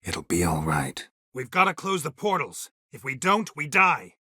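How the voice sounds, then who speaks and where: Gruff american monster hunter for a game, super raspy, dry sardonic deadpan, close dry studio sound. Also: male voice, unhurried, dry recording, full character voice. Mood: terse, weary, then urgent. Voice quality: husky, gravelly low voice. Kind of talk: video game voice acting.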